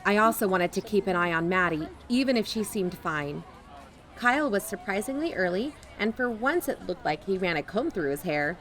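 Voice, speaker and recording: A woman playing a watchful investigator, narrating in the first person for an audio drama in a measured, dryly observant way. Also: mid-range voice, animated, fairly bright tone, natural voice. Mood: watchful, wry. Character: watchful, wry female investigator narrating her covert visit.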